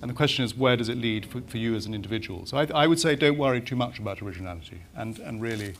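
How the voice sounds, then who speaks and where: A man's voice: mid-range voice, animated, very fast. A middle-aged man answering an audience question at a panel, thoughtful, often restarting as he searches for words. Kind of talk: festival panel discussion. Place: large marquee with audience.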